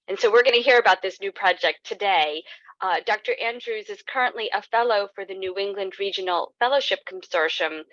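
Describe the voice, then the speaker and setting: A woman's voice: medium pitch, no echo. A woman hosting an online talk, steadily reading a prepared introduction of the speaker. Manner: steady, reads a prepared bio. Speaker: event host introducing the speaker. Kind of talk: read introduction. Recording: dry, dull lo-fi audio.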